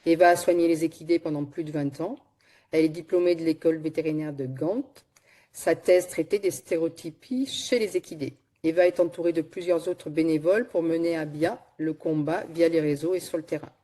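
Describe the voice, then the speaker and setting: Woman reading a formal intro for a webinar, soft mellow voice, video call sound. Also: low voice, fairly quick. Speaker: webinar host introducing the speaker. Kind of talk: scripted introduction.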